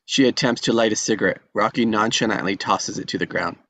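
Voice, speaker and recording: A man's voice: on the low side, fairly quick, a bit bright. A man reading stage directions as scripted narration at a table read.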